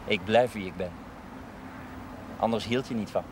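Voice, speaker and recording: An actor playing a playful, ardent young suitor in a television drama, teasing and eagerly insistent. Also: male voice, on the low side, normal speed, noisy background, close to an everyday voice. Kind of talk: 1970s Dutch television drama acting.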